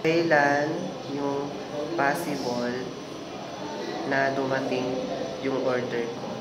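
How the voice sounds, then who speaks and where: A young woman playing an eager, trusting online buyer in an amateur student film, speaking haltingly. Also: rumbling low, level tone. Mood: trusting, hesitant. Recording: noisy, echoey, distant mic with hum.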